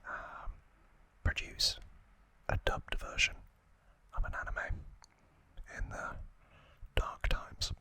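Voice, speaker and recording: A young adult man hosting an ASMR series, whispering in a hushed, deliberate way in short separated phrases, close to the microphone. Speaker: host of an ASMR review series.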